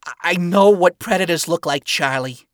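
A man's voice: high voice, moderate pace, dry recording, studio quality, pitched above the performer's own voice, close to an everyday voice. A man voicing a flamboyant, wary male spider demon with a raised, punchy, streetwise delivery. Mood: uneasy.

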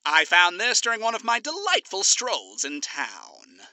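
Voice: medium pitch, huge pitch swings, unhurried, pushed and bright, no room sound, voice pushed up, full character voice. A narrator voicing a suave demon with an old-time radio-host polish.

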